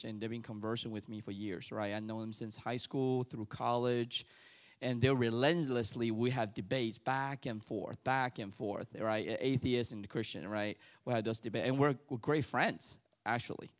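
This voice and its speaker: A middle-aged church elder from Taiwan tells his story from the pulpit. His voice sits at an ordinary male pitch. He talks loosely and conversationally, stumbling and restarting as he goes. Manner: conversational, halting with frequent restarts and fillers. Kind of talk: sermon testimony.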